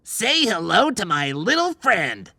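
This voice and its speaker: A man voicing an eager, cheeky little Christmas elf sidekick with a squeaky, chatty delivery in a dramatized audiobook. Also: sing-song, unhurried, creaky, pushed and bright, dry recording, clean studio sound, exaggerated voice.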